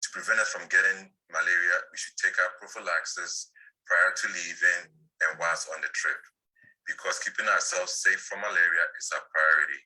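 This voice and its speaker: Deep, forceful man reading an ad. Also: steady tone, low-quality recording. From Ghana. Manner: measured, deliberate reading. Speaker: family physician. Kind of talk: scripted radio-style commercial.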